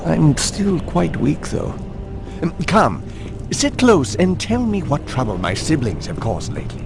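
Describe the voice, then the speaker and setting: Frail gentleman, wildly varying pitch. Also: big pitch swings, unhurried, creaky, loud music behind the voice, exaggerated voice. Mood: weary, genial. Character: weakened, gentle gentleman recovering from long illness. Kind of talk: video game voice acting.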